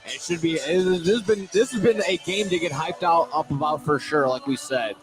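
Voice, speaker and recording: A man with a fairly high voice calls a live basketball game as radio play-by-play announcer. He is excitable and now and then stumbles and repeats himself. Loud music plays behind him in the gym. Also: fairly quick, low-quality recording. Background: loud thumping music.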